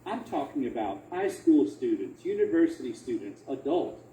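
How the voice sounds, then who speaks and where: A man's voice: mid-range voice, big pitch swings, moderate pace, dark tone. A man giving a conversational academic talk as a researcher, emphatic, repeating phrases and asking rhetorical questions. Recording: roomy echo, faint background noise.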